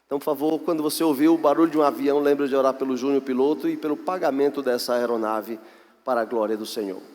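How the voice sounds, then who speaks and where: A man with a Brazilian accent, a pastor presenting mission work to a church in an earnest, unhurried way with pauses. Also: mid-range voice, steady tone, a little mellow. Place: large echoey church hall. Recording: echoey hall, long reverb.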